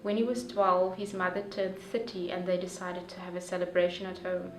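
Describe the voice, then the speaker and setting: A young woman reading a first-person story aloud in a careful, measured way. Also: fairly low pitch, flat delivery. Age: young adult. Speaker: youth reader. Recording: distant echoey room with soft music.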